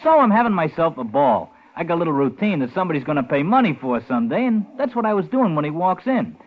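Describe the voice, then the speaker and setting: A man's voice: a little high, big pitch swings, fast. An actor playing a chatty, cocky, star-struck young hotel elevator boy in a 1950s radio drama, slangy and rambling. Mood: chatty, boastful. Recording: noisy tinny 1950s radio recording.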